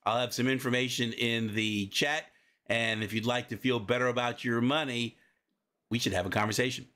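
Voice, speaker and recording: A friendly man at a mid-range pitch opens a live community briefing and introduces himself and his co-host. He speaks in a measured way with the odd filler, over a video call mic. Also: fairly even intonation, bright, forceful tone.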